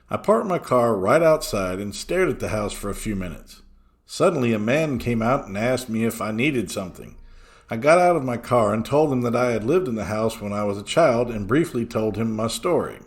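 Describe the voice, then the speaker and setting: A man reading a first-person story aloud as scripted podcast narration. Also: mid-range voice. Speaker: podcast host reading listener stories.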